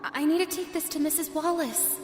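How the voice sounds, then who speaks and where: A woman plays a worried young schoolgirl in an audio drama. The voice is very high and hesitant, washed in long reverb, with music underneath. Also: brisk, lightly acted. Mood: anxious, reluctant. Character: anxious, hesitant preteen schoolgirl.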